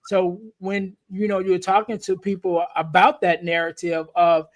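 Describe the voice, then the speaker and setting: High-voiced man in his forties hosting. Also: flat delivery, a little slow. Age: 40s. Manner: rambling, heavy on "you know". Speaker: podcast host. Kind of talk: casual interview chat.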